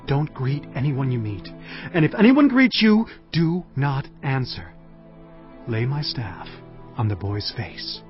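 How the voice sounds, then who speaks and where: An actor playing the prophet Elisha, an earnest, commanding holy man who speaks in a solemn, deliberate way, in a dramatized audio scripture. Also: mid-range voice, sing-song. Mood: instructing. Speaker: prophet character. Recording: dull dry audio with music.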